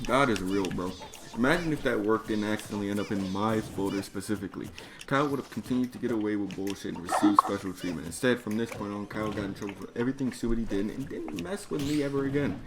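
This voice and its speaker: A man's voice: lowish voice, quick pace. A man telling a personal story in an emphatic, exasperated way, with plenty of swearing and slang. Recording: clearly noisy background.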